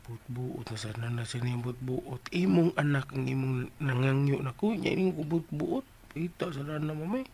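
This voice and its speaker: An actor in a Visayan Filipino radio drama playing an excitable, clumsy household helper in a whiny, exclaiming comic manner. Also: mid-range voice, normal speed, natural voice. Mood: meek, pleading.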